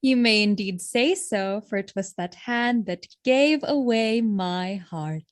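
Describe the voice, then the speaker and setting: An actress playing a devoted, innocent noble wife, earnest and pleading, in a Shakespearean audio drama. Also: female voice, medium pitch, slow, close to an everyday voice. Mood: affectionate, playful.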